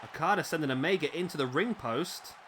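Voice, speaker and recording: A man with a slightly raspy voice, commentating a wrestling video game play-by-play, excitable at big moves and chatty in asides. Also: fairly high pitch, brisk, background noise.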